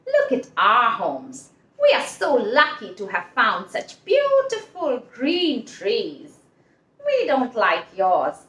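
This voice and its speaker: Lady doing a snotty young owl for a kids story, bragging and teasing, slow, echoey. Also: on the high side, wildly swooping pitch, a bit bright, lo-fi sound, voice pushed down, exaggerated voice. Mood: smug teasing. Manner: taunting, drawn-out bragging. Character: wicked, mocking young owls, smug and boastful.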